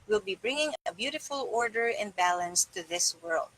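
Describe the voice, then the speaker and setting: A woman's voice: mid-range voice, expressive, moderate pace, fairly bright tone, poor audio. A middle-aged woman reading a self-help book aloud in a small room indoors. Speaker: reader.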